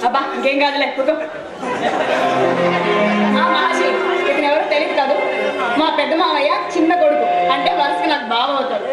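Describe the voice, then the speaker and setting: A woman's voice: high-pitched, brisk, long pauses, natural voice. An actress playing a chatty, assertive young woman in a stage play, recorded in an echoing hall. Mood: chatty, explaining. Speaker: stage actor. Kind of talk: Telugu stage play acting. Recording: echoey hall from far off, music, crowd noise.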